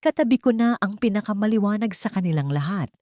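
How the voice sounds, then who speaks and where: A woman with a Filipino accent voicing a tender, admiring man in love, softly and emotionally, in a romantic audiobook. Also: medium pitch, animated, quick pace, no room sound, studio quality, lightly acted. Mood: tender, wistful. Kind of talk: audiobook character dialogue.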